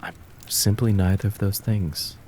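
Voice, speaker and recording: A man voicing a cruel, taunting kitsune fox spirit of the woods in an ASMR roleplay, with drawn-out, mocking, menacing words. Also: fairly low pitch, fairly even intonation, a little mellow, slight background noise, natural voice. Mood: cold, threatening.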